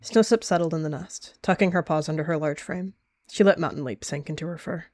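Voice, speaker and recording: A woman calmly narrating a roleplay story in a measured way. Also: low voice, no room sound.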